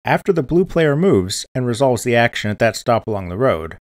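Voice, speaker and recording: A man giving scripted instructional narration in a clear, methodical, step-by-step manner, as a tutorial presenter. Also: mid-range voice, very animated, brisk, dry recording, clean studio sound. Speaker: board game tutorial presenter.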